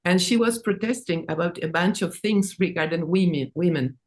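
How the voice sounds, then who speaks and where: A woman, not an English speaker, presenting her own work in a halting, informal way, repeating words. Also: on the low side. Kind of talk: informal artist talk and reading.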